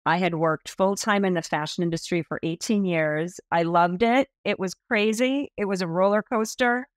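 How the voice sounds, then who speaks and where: A woman in her fifties, a stylist being interviewed on a podcast over a video call, telling stories with lively enthusiasm. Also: lowish voice, moderate pace, no echo, studio quality. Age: 50s. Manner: animated, enthusiastic storytelling with expressive hand gestures. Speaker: guest stylist. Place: small indoor room.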